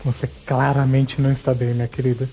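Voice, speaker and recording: A man's voice: medium pitch, monotone, racing, warm tone, a little hiss or hum. A man with a Brazilian accent giving casual live commentary as a game streamer. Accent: Brazilian Portuguese.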